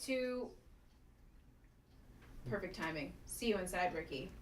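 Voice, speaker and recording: A woman's voice: fairly low pitch, lively intonation, slow, a little hiss or hum. A woman playing a teasing, breezy office colleague in a sitcom table read, wry and casual. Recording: echoey room, distant from mic.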